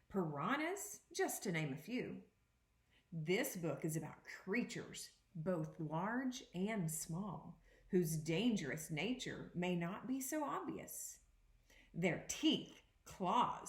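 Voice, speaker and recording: A woman teacher giving an interactive read-aloud for young children, reading deliberately and pausing to put questions to her listeners. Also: medium pitch, a bit bright, reverberant. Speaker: second grade teacher.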